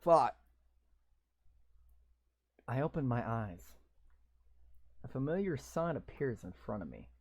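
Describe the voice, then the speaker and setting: A man reading a game's story text aloud in a slow, deliberate way, breaking off for blunt, sweary, sarcastic asides. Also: medium pitch, sing-song, slightly rough. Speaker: gamer reading a visual novel aloud. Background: game music.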